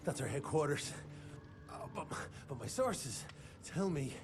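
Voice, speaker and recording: An actor playing a shifty, cowardly, foul-mouthed vampire informant in a video game, with a jittery, stammering delivery. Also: male voice, on the high side, very animated, unhurried, music in the background. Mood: nervous, informing. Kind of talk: video game cinematic dialogue.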